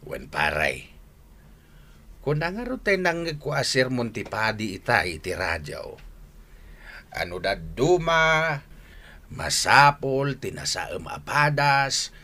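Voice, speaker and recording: A man's voice: medium pitch, very animated, takes it slowly, pauses often, pushed and bright, exaggerated voice. A man acting in a radio drama as a repentant, earnest older father who likes his drink, with an Ilocano accent.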